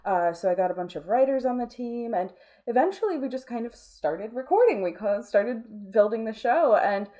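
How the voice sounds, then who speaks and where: A woman's voice: a little high, lively intonation, moderate pace, dark tone. A woman talking casually as an interview guest, informal and rambling, with a self-deprecating way about her. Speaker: interview guest, audio drama producer.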